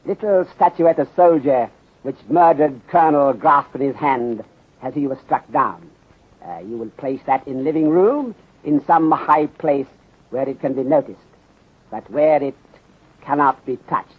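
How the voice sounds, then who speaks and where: Muffled vintage courteous detective. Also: male voice, medium pitch, husky. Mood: courteous, instructing. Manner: measured, formal, clipped phrasing without articles. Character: courteous, shrewd Chinese detective inspector with aphorisms. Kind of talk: 1930s radio acting. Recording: muffled phone-like 1930s radio recording.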